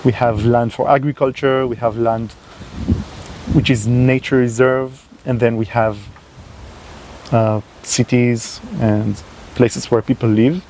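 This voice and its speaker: A man's voice: mid-range voice, fairly even intonation, slow pace, a little mellow, slight background noise, rough recording. A man hosting his own channel, talking unscripted to the camera in a non-native language, hesitant with many fillers and restarts. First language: not English. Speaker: channel host. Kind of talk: unscripted solo talk to camera.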